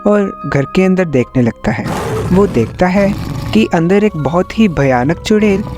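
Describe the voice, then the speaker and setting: A man narrates a scripted story in a somewhat high voice. Music plays behind him as he tells it. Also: expressive, a little slow. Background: background music.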